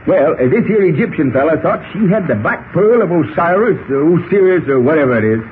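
An actor playing a folksy, talkative, excitable cowboy with an American Western drawl and colorful slang in a 1940s radio style. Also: male voice, a little high, brisk, natural voice. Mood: rambling, explanatory. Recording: tinny, noisy old radio recording.

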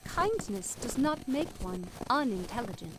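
An actress playing a gentle, steadfast, kind-hearted woman in an audio drama, speaking in a simple, sincere, deliberate way. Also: on the high side, big pitch swings, background noise. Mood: gentle, caring.

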